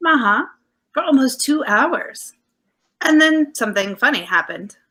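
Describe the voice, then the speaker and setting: A woman's voice: high-pitched, animated, measured pace, bright, forceful tone, full character voice. A woman playing an affectionate, playful detective's wife in 1940s radio drama style, with coy banter. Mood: coy, composed.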